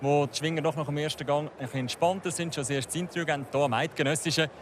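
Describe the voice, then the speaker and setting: A man's voice: on the high side, steady tone, racing, a little hiss or hum. A man reporting live from an outdoor festival, slightly flustered and talking in long sentences. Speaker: sports reporter.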